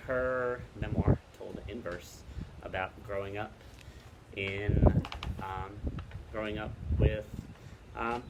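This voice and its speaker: A man casually recommending books outdoors, halting and hesitant as he pauses to think. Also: on the low side, fairly even intonation. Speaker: book recommender, teacher. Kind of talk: casual book recommendation chat. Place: outdoors in the wind. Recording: very loud wind noise, clicks, outdoor video.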